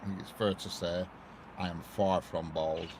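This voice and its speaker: Low gravelly Lancashire man streaming trackside. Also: level tone, moderate pace. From northwest England. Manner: chatty, greets viewers one by one, laughs often. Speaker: railway livestreamer. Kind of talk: casual live-stream chat. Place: outdoors by a railway line. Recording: noisy outdoor live stream.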